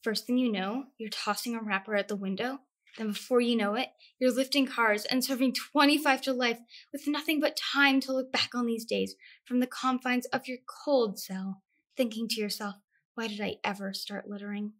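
Woman acting high-pitched, pompous, indignant classroom scold. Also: steady tone, normal speed, a bit bright. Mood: stern lecturing. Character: indignant, self-righteous young woman lecturing seventh-grade class. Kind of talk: comedic theatrical monologue.

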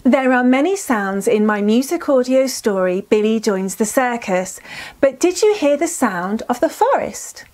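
A woman's voice: on the high side, lively intonation, measured pace, darkish tone. A woman in her thirties or forties presenting scripted storytelling for children, enunciating carefully and encouraging listeners.

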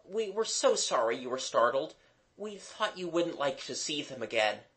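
A narrator as a smooth, light-hearted schoolboy. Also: mid-range voice, very animated, moderate pace, fairly bright tone. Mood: honeyed, innocent. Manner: honeyed, explaining. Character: gentlemanly, light-hearted boy, smooth and reassuring.

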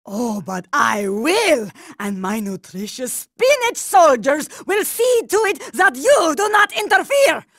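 A creaky old granny villain, sickly sweet n menacing, talks real slow, cartoon game. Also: female voice, high-pitched, big pitch swings, fairly bright tone, dry recording, studio quality, full character voice. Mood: defiant menace. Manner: sickly-sweet, gloating, drawn-out. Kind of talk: cartoon video game voice acting.

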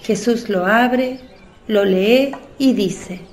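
A woman's voice: on the high side, extremely slow, darkish tone. A woman reading scripted narration for a religious radio drama.

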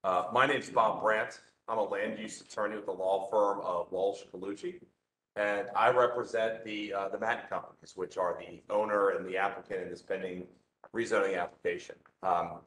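A man, a land use attorney, walking a community meeting through slides, loud-voiced and casual, with many fillers and restarts. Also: lowish voice, fairly even intonation, moderate pace. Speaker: land use attorney presenting a rezoning case. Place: community meeting room, standing to speak. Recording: echoey meeting room, faint noise.

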